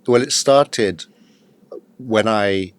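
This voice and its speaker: A man in his fifties, a guest in a podcast interview, telling his story in a steady, matter-of-fact way with the odd hesitant "uh.". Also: lowish voice, extremely slow, smooth, dry recording. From England. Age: 50s. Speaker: guest, executive coach.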